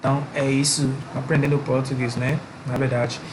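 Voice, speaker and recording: A male teacher wrapping up a recorded online lesson, speaking hesitantly with frequent pauses and fillers. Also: medium pitch, level tone. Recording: loud background noise with clicks.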